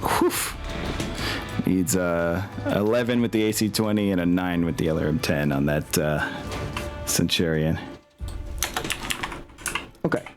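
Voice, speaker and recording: A man with a deep voice runs a casual tabletop wargame as game master. He thinks aloud in an easygoing manner, with music clearly playing behind him. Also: fairly even intonation, a little slow, long pauses.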